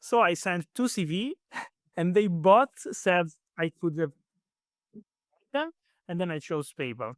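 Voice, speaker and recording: A man with a clear Italian accent talks through his story in a relaxed interview. His voice sits a little high and sounds smooth and resonant. He often pauses with fillers and restarts his sentences, recorded close with no room sound. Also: no echo. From Italy. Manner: hesitant, many fillers and restarts. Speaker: guest. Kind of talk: casual interview answers.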